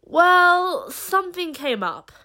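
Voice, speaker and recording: A woman's voice: very high voice, big pitch swings, slow pace, sharp tone, exaggerated voice. A woman acting as a smug, evasive teleporting rogue in an audio drama, cool and nonchalant. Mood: sheepish, evasive.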